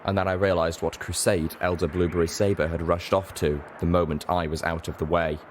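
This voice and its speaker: A man's voice: sits low, flat delivery, a little fast. A man reading a story aloud in dramatic, scripted first-person narration. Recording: faint background noise.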